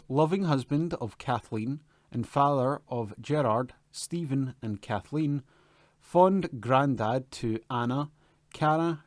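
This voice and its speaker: A man's voice: medium pitch, darkish tone, no echo, studio quality. A man reading newspaper announcements and articles aloud from a script as a volunteer reader. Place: at home.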